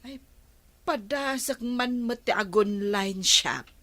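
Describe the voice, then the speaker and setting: A woman's voice: mid-range voice, slow, fairly bright tone. A woman with an Ilocano accent playing a homely, chatty village woman in a radio comedy drama.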